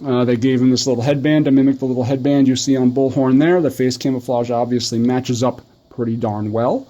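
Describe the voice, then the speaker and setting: A man's voice: mid-range voice, steady tone, brisk, mellow, highs cut off. A middle-aged man giving a casual, conversational video review, talking in a rambling enthusiast's way. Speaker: toy review host.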